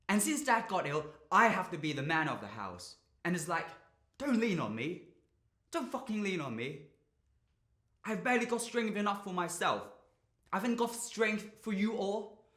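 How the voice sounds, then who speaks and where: A man's voice: a little high, fairly bright tone. A young man acting a dramatic monologue as a drained, burdened young man, confiding with bitter, volatile emotion. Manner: confessional, sweary, emotionally volatile. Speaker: drama student actor. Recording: echoey room.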